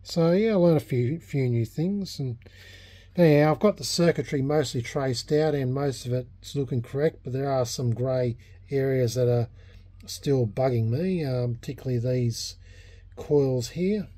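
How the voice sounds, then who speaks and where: An Australian man talking casually and unscripted in a workbench vlog, halting and thinking aloud as a hobbyist. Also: mid-range voice, mellow, no room sound. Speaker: hobbyist vlogger restoring a vintage radio. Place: small indoor home workshop at a bench.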